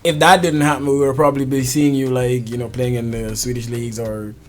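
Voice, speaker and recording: A man's voice: mid-range voice, flat delivery, a little fast, faint music behind. A man hosting a casual studio interview in a chatty, informal, jokey way. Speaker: show host. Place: music studio.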